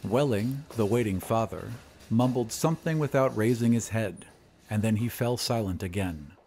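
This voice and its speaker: A man narrating an audio drama in a measured, expressive way. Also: lowish voice, a little hiss or hum.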